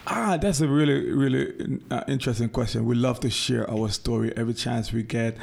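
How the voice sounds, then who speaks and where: Earnest man with a gravelly voice. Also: mid-range voice, a little fast, a little mellow. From Jamaica. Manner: earnest, repeats words while searching for them. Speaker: radio guest. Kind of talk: casual interview talk. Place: radio studio.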